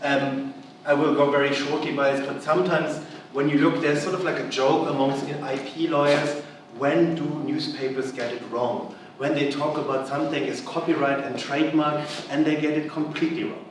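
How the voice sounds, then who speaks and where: A man's voice: fairly high pitch, fairly even intonation, moderate pace. German-accented man lecturing in an echoey hall. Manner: chatty, many fillers and restarts. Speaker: university lecturer. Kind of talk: conference lecture. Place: large conference hall. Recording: echoey hall, voice from far off, background noise.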